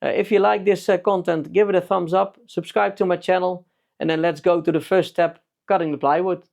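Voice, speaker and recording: A man's voice: sits high, fairly even intonation, fairly quick, creaky, a little mellow. A man with a Dutch accent, a native Dutch speaker, giving a casual tutorial as a DIY video maker. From Netherlands.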